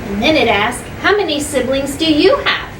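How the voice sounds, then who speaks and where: A woman's voice: high-pitched, big pitch swings, normal speed. A woman teacher reading a story aloud to children, stopping for simple explanations, repeating points and putting questions to the listeners. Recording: echoey room, distant mic, clear background noise.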